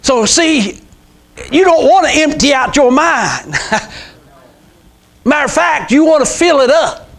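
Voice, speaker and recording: High-pitched preacher man in his sixties. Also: very animated, takes it slowly, vocal fry, pauses often, a bit bright. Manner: emphatic, repetitive, calls out amens and rhetorical questions. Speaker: pastor preaching to a congregation. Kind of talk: informal, fervent extemporaneous sermon. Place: small church sanctuary, indoors. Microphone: clip-on lapel mic.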